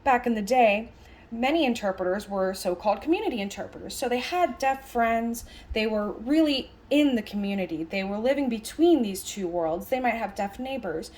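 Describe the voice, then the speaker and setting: A woman with a slightly high voice interprets a lecture aloud. She talks conversationally, breaking her thoughts into short, halting phrases and leaning on the key words. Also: expressive, a little mellow. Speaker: sign language interpreter voicing a signed lecture.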